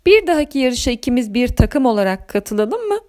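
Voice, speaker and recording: A repentant monster trucker from a storybook acted out by a woman, pitched high. The former cheat speaks earnestly and emotionally, eager to reform. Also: big pitch swings, brisk, warm tone. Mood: admiring, earnest.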